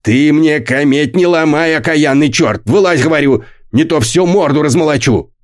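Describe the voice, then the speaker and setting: A man's voice: mid-range voice, very animated, a little slow, voice pushed up. A man putting on a barking brutal foreman. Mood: bullying. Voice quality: coarse, bullying, barking. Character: brutal gruff middle-aged male stoker foreman. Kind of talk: audiobook character voice.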